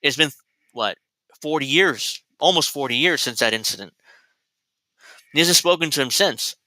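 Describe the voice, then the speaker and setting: Guy in his 30s hosting a chatty commentary show, super bright voice, keeps rambling and restarting sentences. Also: on the high side, expressive, moderate pace, no room sound. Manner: rambling and digressive, with frequent restarts. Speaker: host.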